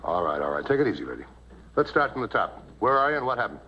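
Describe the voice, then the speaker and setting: An actor playing a middle-aged male highway patrol chief who gives clipped, commanding orders in a 1950s TV crime drama. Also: very animated, fast talker. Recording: dull old TV audio with loud hiss.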